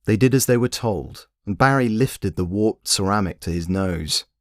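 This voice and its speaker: A man's voice: fairly low pitch, normal speed, dry recording, studio quality. A man reading scripted fiction as an audiobook-style narrator. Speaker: author and narrator.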